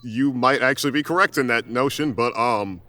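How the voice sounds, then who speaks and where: A man's voice: mid-range voice, moderate pace, sharp tone, lightly acted. A man playing a teasing, easygoing young male monster trainer in a casual, wry manner. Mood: puzzled.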